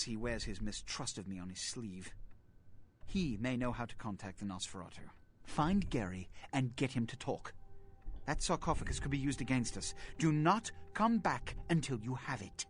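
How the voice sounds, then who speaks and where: An actor playing a pompous, embattled vampire prince in a video game, speaking in formal, melodramatic and indignant speeches. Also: male voice, medium pitch, slight background noise, natural voice. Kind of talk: dramatic video game voice acting.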